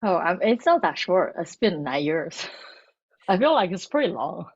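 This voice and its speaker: Halting woman, Chinese native speaker. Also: lowish voice, sing-song, slightly rough, lo-fi sound. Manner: halting, many restarts and fillers. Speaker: cookbook author and food blogger. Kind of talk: bookstore author talk.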